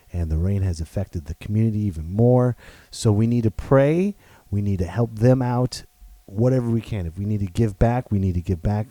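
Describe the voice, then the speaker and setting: A man hosting his own podcast, talking loud and playful in a rambling way with many restarts. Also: fairly low pitch, dry recording. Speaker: podcast host. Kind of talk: casual solo podcast monologue.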